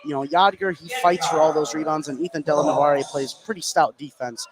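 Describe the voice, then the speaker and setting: A man's voice: on the high side, fairly even intonation, a little fast, a crowd in the background, poor audio. A man giving live color commentary and analysis at a basketball game.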